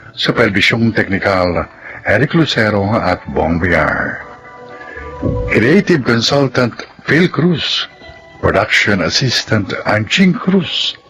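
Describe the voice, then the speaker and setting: Male announcer, measured pace, animated intonation, formal scripted radio delivery, background music. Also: medium pitch. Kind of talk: scripted announcement, credits reading. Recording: music under the voice.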